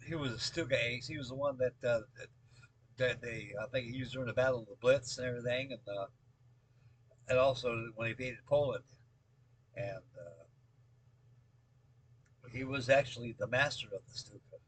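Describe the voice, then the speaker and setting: An American man in his late seventies with a husky voice, chatting casually as a hobby video host in a halting, rambling way, often repeating himself. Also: mid-range voice, fairly bright tone, low-quality recording. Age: late 70s. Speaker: scale model builder and video host. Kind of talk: casual update chat with rambling storytelling.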